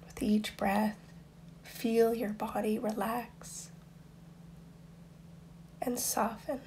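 A woman guiding a meditation in a soft, breathy, near-whispered voice, soothing and unhurried, with long pauses between instructions. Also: fairly high pitch, little pitch movement, slight background noise. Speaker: meditation guide. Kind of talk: guided meditation.

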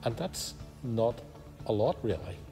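An older man, a minister speaking to his congregation in a measured, gentle, reassuring way from inside a large empty church. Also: mid-range voice, expressive. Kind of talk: pastoral announcement to congregation. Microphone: phone camera close up, then from a distance. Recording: echoey church hall with background music.

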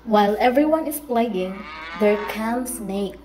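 A woman's voice: medium pitch, animated, unhurried, mellow. A woman narrating a scripted puppet show as a storyteller.

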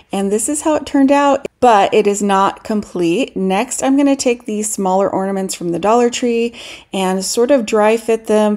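Gravelly, mellow-voiced woman crafter. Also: medium pitch. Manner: friendly step-by-step instructions. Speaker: DIY craft channel host. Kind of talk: voice-over tutorial narration.

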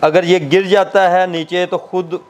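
A man's voice: sits high, flat delivery, a little slow. A young man with a Pakistani accent, hosting and interviewing on a product visit, repeating answers for viewers. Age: young adult. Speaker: host of a product video channel. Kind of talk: presenting and interviewing on a factory visit. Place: indoor room stacked with cardboard boxes. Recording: background music under the voice. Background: music.

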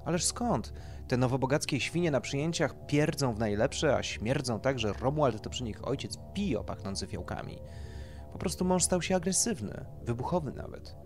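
A man's voice: mid-range voice, lively intonation, normal speed, soft background music, pitched above the performer's own voice, close to an everyday voice. A man voicing a snobbish, gossipy society wife in an audio story, indignant and vulgar, with emphatic delivery.

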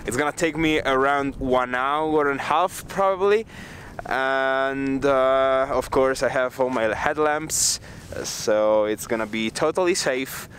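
A man's voice: mid-range voice, takes it slowly, a bit bright, a little hiss or hum. A young man talking casually to his camera outdoors in a vlog, thinking aloud with some hesitation. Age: young adult. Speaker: vlogger. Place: outdoors on an open mountain hillside.

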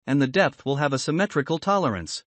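A man giving scripted, instructional software tutorial narration in a measured, explanatory manner. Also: a little high, animated, dry recording.

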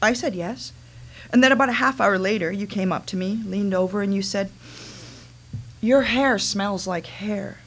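A woman playing a resentful adult daughter with a halting, earnest delivery in a staged dramatic reading. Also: medium pitch, breathy edge. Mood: hurt recounting. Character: wounded, resentful adult daughter confronting her mother. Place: small dimly lit stage venue. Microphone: microphone on a stand.

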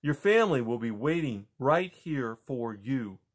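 A man reading a story aloud and voicing a gentle, reassuring male woodland animal. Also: mid-range voice, lively intonation, unhurried.

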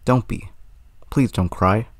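A man's voice: on the low side, slow, faint music behind. A man playing an earnest, kind-hearted teenage boy in a fan dub of a game cutscene, sincere and reassuring.

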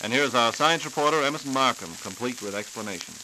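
A man's voice: medium pitch, quick pace. An American man hosting a scripted 1940s radio program. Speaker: radio host. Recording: hissy 1940s transcription disc.

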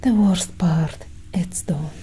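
Woman playing a whispering, slow alien scientist. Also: on the low side, a little hiss or hum. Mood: gently approving. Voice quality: whispery, breathy. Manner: slow, hushed, reassuring. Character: calm, condescending female alien scientist, soothing her abductee. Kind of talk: soft-spoken ASMR roleplay. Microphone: close ASMR microphone.

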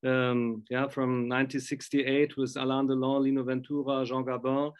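A man's voice: mid-range voice, flat delivery, moderate pace, smooth. A middle-aged man, chatting effusively as an interviewer on a video call from a room at home. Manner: effusive, enthusiastic. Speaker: interviewer, film music fan. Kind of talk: casual interview chat.

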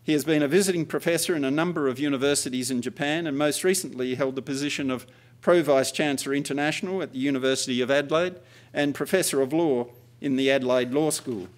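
A man's voice: medium pitch, quick pace. A man in his sixties reading a formal introduction from notes at a lectern mic in a large indoor hall. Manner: reads steadily from notes. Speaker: host introducing the speaker.

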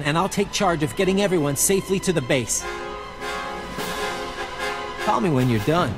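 Give the voice of a game's male resistance fighter, acted by a man, upbeat and effusive. He flirts playfully as he talks, in a fairly high register, with faint music underneath. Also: lightly acted. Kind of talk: video game cutscene acting.

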